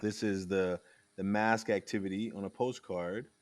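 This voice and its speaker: A man hosting a casual conversation, telling stories in a rambling, animated way with frequent restarts. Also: lowish voice, normal speed. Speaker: podcast host.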